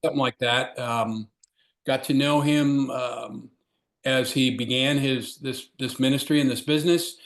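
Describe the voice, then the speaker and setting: Brief: male host and former pastor introducing a guest on a video call, informal and halting, echoey room. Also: medium pitch, steady tone. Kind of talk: introducing a speaker. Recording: roomy echo.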